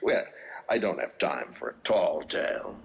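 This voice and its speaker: An actor playing a folksy old sailor in a game, gravelly and unhurried, with salty pirate talk. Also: male voice, deep voice, animated, faint music behind, full character voice. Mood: jovial, storytelling. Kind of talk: video game character acting.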